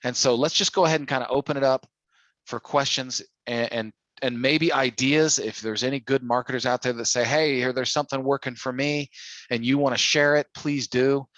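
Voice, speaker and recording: A man's voice: mid-range voice, steady tone, a little fast, a bit bright, no echo. A man hosting an informal sales training call, talking persuasively and using plenty of rhetorical questions. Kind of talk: informal sales coaching talk.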